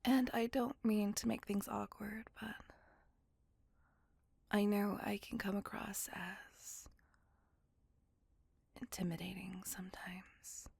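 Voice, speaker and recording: A woman voicing a gentle, remorseful immortal vampire in an ASMR roleplay, speaking tenderly and intimately in a breathy whisper. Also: medium pitch, flat delivery, no echo, full character voice. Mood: vulnerable, hurt. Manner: slow, tender, earnest confiding.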